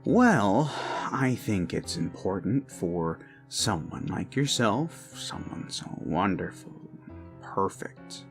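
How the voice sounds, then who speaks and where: A man playing an obsessive, possessive boyfriend in an ASMR roleplay, creepily sweet and theatrical, coaxing with pauses and drifts into whispers. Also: on the low side, sing-song, soft background music, full character voice. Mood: adoring, coaxing.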